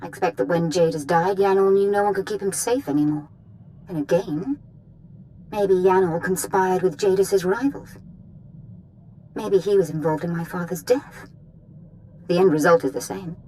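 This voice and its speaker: A woman's voice: fairly low pitch, moderate pace, pauses often, dark tone, a little hiss or hum, lightly acted, rough recording. An actress playing a haughty, menacing female Sith lord in a video game, speaking formally and with threat. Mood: cold command. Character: imperious, menacing female Sith lord, daughter of a dead tyrant.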